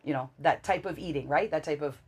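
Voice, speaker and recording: A woman's voice: sits low, a little fast, slightly rough. A woman in her forties from Canada, a guest telling stories eagerly in a casual interview. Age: 40s. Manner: warm, enthusiastic storytelling with many fillers.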